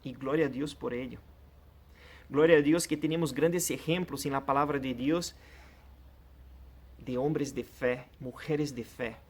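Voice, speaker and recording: A man's voice: mid-range voice, fairly even intonation, a little slow, pauses often, husky. A man whose first language is Portuguese, preaching a sermon in an earnest, direct way and addressing the listener personally. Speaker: pastor.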